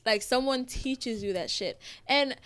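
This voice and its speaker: A woman from Jamaica hosts a podcast in casual chat. She is chatty and quick to laugh. Her voice sits fairly high. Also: fairly bright tone. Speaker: podcast host.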